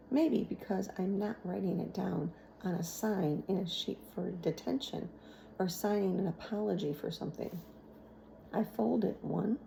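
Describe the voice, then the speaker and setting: Woman teacher-type narrator for a children's novel, mellow and slow, faint noise behind. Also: medium pitch, vocal fry, low-quality recording. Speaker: teacher reading aloud.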